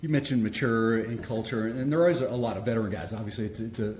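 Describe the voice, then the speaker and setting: A man with a low, mellow voice, a sports reporter, asks quick questions with context. The room is echoey with faint noise behind. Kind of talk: interview questions.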